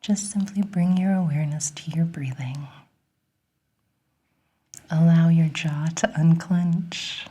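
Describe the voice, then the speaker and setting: A woman leads a guided relaxation in a very breathy whisper. She speaks slowly and gently, with a mellow tone. The delivery is soothing and encouraging, in an ASMR style. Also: sits low, fairly even intonation.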